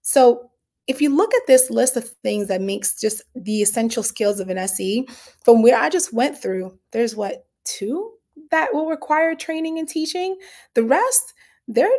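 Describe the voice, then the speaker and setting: A woman's voice: on the high side, a little mellow. A woman from the Dominican Republic giving an earnest, candid summit talk, with frequent fillers and restarts. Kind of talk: conversational online presentation.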